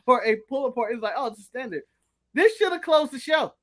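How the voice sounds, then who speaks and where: A man's voice: extremely high pitch, animated, a little fast. A man from the United States co-hosting a casual chat show, talking eagerly and repeating his points for emphasis. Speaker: podcast co-host.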